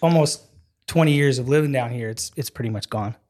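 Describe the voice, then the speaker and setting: A man in his forties from Wisconsin, a guest telling stories in a relaxed podcast chat, animated and laughing easily, with many filler phrases. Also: mid-range voice, fairly quick. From Wisconsin, US Midwest. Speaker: guest, ministry program leader. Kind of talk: casual storytelling in a podcast chat.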